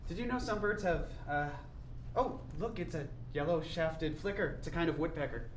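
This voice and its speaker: A man's voice: a little high, moderate pace, natural voice. A man in a staged reading playing a dreamy, stubborn college-bound son, wistful and earnest, who drifts off into digressions. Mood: earnest wonder. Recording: echoey room, distant mic, faint noise.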